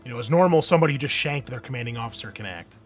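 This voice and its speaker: A man with a mid-pitched voice runs a tabletop roleplaying game. He explains rules and narrates off the cuff, pausing to think aloud. Soft music plays behind him. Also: lively intonation. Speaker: game master of an online tabletop game. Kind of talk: casual rules talk and improvised narration.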